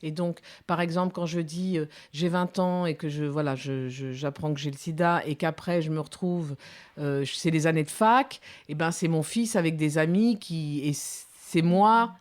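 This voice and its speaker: A woman's voice: low-pitched, normal speed, a little mellow. A woman in her fifties, a documentary filmmaker, giving reflective interview answers with many hesitations and restarts. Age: 50s.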